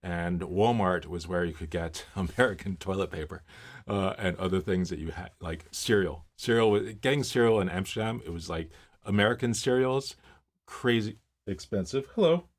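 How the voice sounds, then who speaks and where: Low-voiced man chatting casually on a livestream. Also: normal speed. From Miami, Florida. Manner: rambling, anecdotal, many fillers and restarts. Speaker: livestream host. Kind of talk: casual livestream chat, reading viewer comments. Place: home office.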